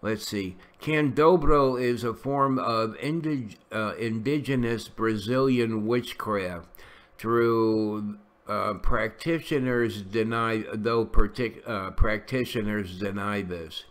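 A man's voice: lowish voice, fairly bright tone. A middle-aged man reading an article aloud in a casual way, stumbling over names and adding little asides. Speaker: channel host reading a news article.

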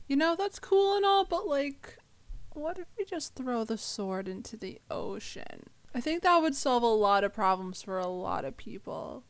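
High-pitched, animated woman, very dry sound. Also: moderate pace, no echo. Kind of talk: casual, joking commentary.

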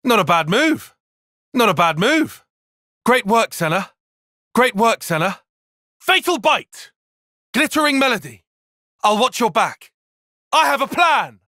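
Brainy young male fighter, video game battle barks, forceful bright tone, wide swings in pitch, clipped commands. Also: big pitch swings, unhurried, long pauses, no room sound, studio quality, heavily acted. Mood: battle shouting. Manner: clipped, commanding short call-outs. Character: brainy young male tactician fighter, composed but intense.

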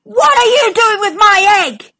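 A woman's voice: high-pitched, lively intonation, a little slow, bright, forceful tone, exaggerated voice. A woman voicing a huge, fierce female Triceratops who roars and snaps in a storybook reading. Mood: furious. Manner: roaring, snappish.

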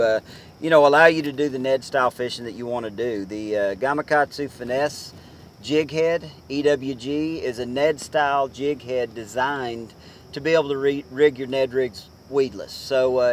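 A man in his forties giving casual fishing tips to the camera from a boat on a lake, talking in a relaxed, chatty way with many fillers. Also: medium pitch, slight background noise. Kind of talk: casual how-to talk to camera.

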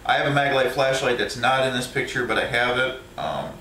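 A man's voice: mid-range voice, fairly quick, bright, forceful tone, recorded from a distance, slight background noise. A man, a truck driver, casually showing his tools with lots of ums and restarts, recorded at home by a camera set up overhead. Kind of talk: casual show-and-tell of tools. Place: indoor room at home. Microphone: camera set up overhead, a few feet from the speaker. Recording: echoey room, voice a bit off mic.

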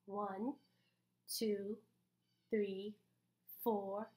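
A young adult woman reading a picture book aloud to small children in an animated, exaggerated, encouraging way, with pauses for them to join in. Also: mid-range voice, poor audio. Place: small indoor room.

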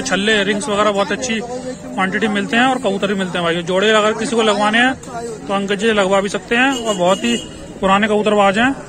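A man with a Western Uttar Pradesh Hindi accent vlogging from an outdoor pigeon market, with brisk promotional patter that keeps urging viewers on. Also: sits high. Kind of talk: walking market vlog, talking to sellers and viewers. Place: busy outdoor street market. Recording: noisy outdoor market crowd. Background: crowd chatter and traffic.

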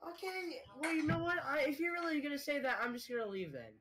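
Exasperated, high, very animated voice. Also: quick pace, slight background noise. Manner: exasperated, insistent. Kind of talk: casual group voice chat. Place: online voice call.

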